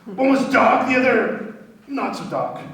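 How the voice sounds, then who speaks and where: Echoey, high-voiced, indignant diamond merchant played by a man. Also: measured pace, vocal fry, heavily acted. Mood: recalling. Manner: excitable, formal, foreign-sounding phrasing. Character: agitated, indignant male diamond merchant, robbery victim. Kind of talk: radio play acting. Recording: very echoey, distant from microphone.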